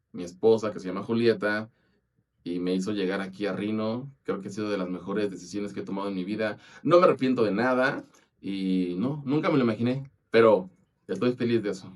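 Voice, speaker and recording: A man's voice: lowish voice, a little mellow, recorded from a distance. A man from Mexico with a Mexican accent, speaking heartfelt about moving for love. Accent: Mexican Spanish. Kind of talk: casual testimony.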